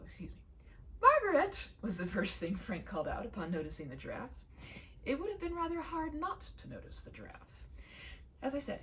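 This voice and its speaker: A woman reading a humorous story aloud with expressive, deliberate, mock-formal narration. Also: lowish voice, vocal fry, a little hiss or hum, low-quality recording.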